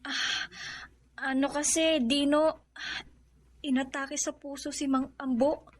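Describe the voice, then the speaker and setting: A friendly young bride-to-be in a radio drama. Her voice is high, and she talks in a chatty, expressive way. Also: takes it slowly. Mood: concerned.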